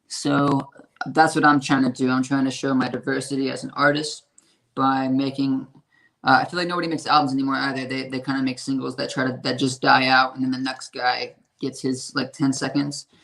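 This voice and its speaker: A man with a raspy, hoarse voice, talking about his music in a casual interview and rambling with lots of fillers and swearing. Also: mid-range voice, flat delivery, brisk, fairly bright tone, poor audio. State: hoarse from a strained voice. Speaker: independent musician.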